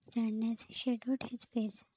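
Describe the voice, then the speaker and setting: A woman narrating a story in a steady, soft unvoiced whisper. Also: on the high side, slow, slight background noise, poor audio. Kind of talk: whispered fan fiction reading.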